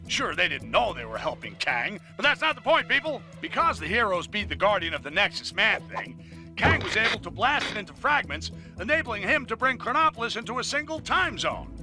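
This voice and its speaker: A man playing a sarcastic, blustering newsman in a comic video game, delivering a rapid, scolding rant. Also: high voice, pushed and bright, heavily acted. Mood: indignant. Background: music.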